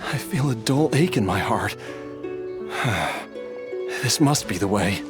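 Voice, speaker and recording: An actor playing an earnest, ailing man in a video game, with a halting, pleading, emotional delivery. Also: on the low side, expressive, loud music behind the voice. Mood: uneasy, searching. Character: earnest, desperate ailing man with failing memory. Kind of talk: dramatic video game acting.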